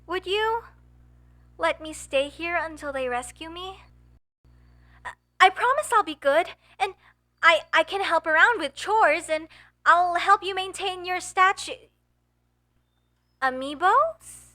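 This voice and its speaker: A woman's voice: very high voice, expressive, takes it slowly, pauses often, sharp tone, full character voice. A woman voicing a young, earnest and flustered elf mage student in a comedic audio roleplay, with formal, hesitant phrasing. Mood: pleading, nervous. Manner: formal, slightly stilted phrasing with nervous hesitations.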